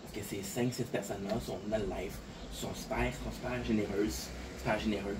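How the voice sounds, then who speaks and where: Un homme de Montréal à l'accent québécois, un peu fatigué, qui parle de façon décousue en se répétant beaucoup. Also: male voice, lowish voice, steady tone, fairly quick, background noise. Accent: Québécois French. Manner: rambling, repeats words, frequent fillers. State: a little tired. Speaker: content creator. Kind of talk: casual talk to camera. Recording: distant voice with clear outdoor ambience.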